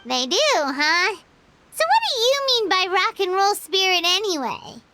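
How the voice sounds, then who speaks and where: A woman's voice: extremely high pitch, very animated, measured pace, noisy background, full character voice. A woman voicing a tiny, cheeky fairy companion in a video game, cartoonish, sassy and skeptical. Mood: curious. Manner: sassy, skeptical, refers to self in third person.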